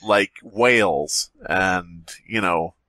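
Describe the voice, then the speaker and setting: A man's voice: low voice, extremely slow, sharp tone, no room sound. A man chatting casually and jokingly as a podcast host. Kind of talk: casual comedic discussion. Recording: music behind the voice.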